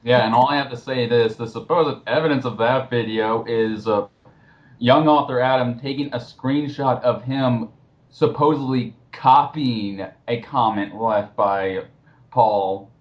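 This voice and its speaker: A man in casual group commentary, scornful and quick to swear, heard over a dull voice chat. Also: medium pitch. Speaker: commentary co-host.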